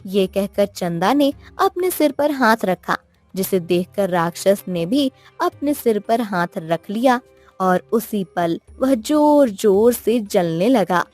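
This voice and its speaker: A woman telling a scripted moral story as an expressive narrator. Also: medium pitch, takes it slowly. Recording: music behind the voice.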